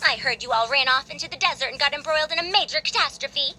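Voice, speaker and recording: An actress playing a stern, experienced middle-aged teacher who lectures the young ones. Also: female voice, sits high, fast talker, sharp tone, a little hiss or hum. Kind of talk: game voice acting.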